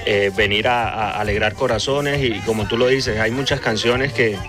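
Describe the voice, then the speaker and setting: A man, a vallenato musician guest, speaking politely and in a measured way on the radio. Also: fairly low pitch, fairly even intonation, music in the background. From Colombia. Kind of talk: casual radio interview.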